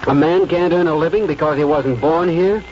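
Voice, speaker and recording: An actor playing an idealistic small-town American lawyer, earnest and oratorical, in 1940s radio drama style. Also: male voice, on the high side, fairly quick, slight background noise, close to an everyday voice. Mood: earnest, resolute. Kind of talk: 1940s radio acting and first-person narration. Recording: muffled old radio broadcast, music behind.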